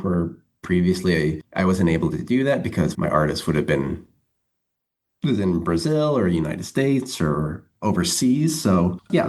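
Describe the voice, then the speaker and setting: A man's voice: low voice, darkish tone. A man talking casually as an interview guest, rambling a little as he thinks aloud. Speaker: interview guest, comic writer.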